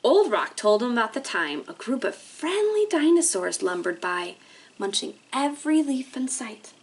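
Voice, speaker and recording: A woman's voice: fairly high pitch, big pitch swings, normal speed. A woman hosting library storytime, reading aloud and explaining things expressively to young children, often checking in with them.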